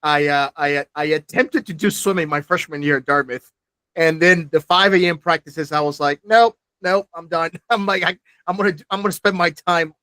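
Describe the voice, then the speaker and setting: A man's voice: fairly high pitch, fairly even intonation, normal speed, sharp tone, dry recording. A man in his forties hosting an alumni podcast, reading a scripted welcome and then asking chatty questions with small restarts. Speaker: podcast host. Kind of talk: podcast interview.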